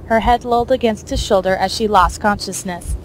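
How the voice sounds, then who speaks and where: A woman's voice: mid-range voice, brisk, a little hiss or hum, low-quality recording. A woman reading scripted fiction as the narrator of an audio story.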